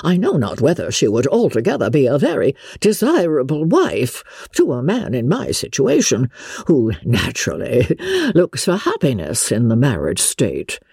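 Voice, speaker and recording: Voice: medium pitch, huge pitch swings, moderate pace, gravelly, darkish tone, husky, dry recording, studio quality, heavily acted. Reader as a pompous, self-important suitor. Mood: stiffly offended. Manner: pompous, wordy.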